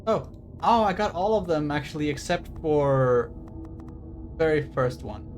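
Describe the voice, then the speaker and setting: A man's voice: a little high, animated, measured pace, darkish tone. A man chatting casually on a live stream, rambling with swearing, fillers and restarts. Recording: stream audio with game music behind.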